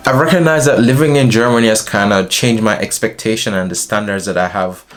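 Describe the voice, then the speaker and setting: A young man from Jamaica chatting casually to camera in a small indoor room, animated and gesturing as he talks. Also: on the low side, brisk, soft background music. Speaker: vlogger.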